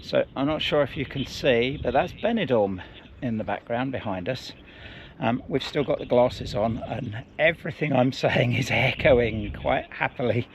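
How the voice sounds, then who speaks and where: A man's voice: mid-range voice, animated, normal speed, vocal fry. A man co-hosting a casual product review vlog, chatting in an easygoing way and laughing often while out on a bike ride. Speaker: vlog co-host. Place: outdoors on a hillside on a bike ride. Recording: outdoor noise behind.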